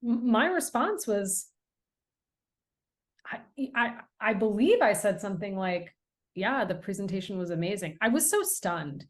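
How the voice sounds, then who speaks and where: A woman's voice: mid-range voice, measured pace. A middle-aged American woman, an author and guest on a podcast interview, telling stories in an earnest, emphatic way. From United States. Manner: earnest, emphatic, animated storytelling.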